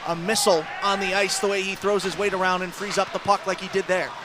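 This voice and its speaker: A man doing live radio play-by-play commentary of an ice hockey game, describing the action in rapid detail and lifting his voice with the action. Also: high-pitched, little pitch movement, crowd noise, background noise. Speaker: play-by-play sports announcer.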